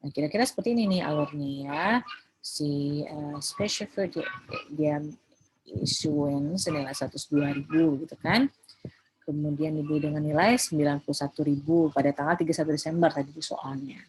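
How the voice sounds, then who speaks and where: A woman's voice: low-pitched, fairly even intonation, brisk, darkish tone, slight background noise, low-quality recording. A woman with an Indonesian accent giving an informal recorded lecture, explaining step by step with English terms mixed in and frequent checking tags. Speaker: accounting lecturer.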